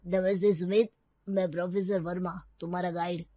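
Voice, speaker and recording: A woman's voice: on the low side, little pitch movement, moderate pace, dry recording, lo-fi sound, close to an everyday voice. A woman voicing a courteous, supportive male college professor in a cartoon story, formal and reassuring.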